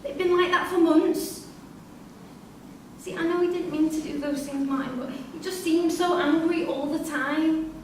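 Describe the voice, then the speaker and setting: A roomy, distant recording with faint noise carries an actress as a bewildered, grieving widow in a staged drama reading. Her voice is extremely high, fragile and plaintive. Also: steady tone, a little slow. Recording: distant mic in echoey room, noisy.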